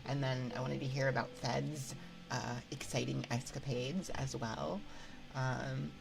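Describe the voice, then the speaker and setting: A woman's voice: a bass voice, fairly even intonation, measured pace, vocal fry, low-quality recording. A woman hosting a livestream, chatting casually with viewers in a hesitant, rambling, giggly way into a binaural ASMR microphone. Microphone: binaural 3Dio ASMR microphone. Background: music.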